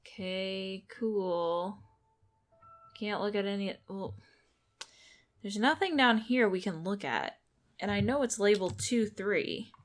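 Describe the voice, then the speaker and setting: A woman's voice: medium pitch, takes it slowly, long pauses. A woman giving casual gameplay commentary, thinking aloud as she goes. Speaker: video game streamer. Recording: game music behind the voice.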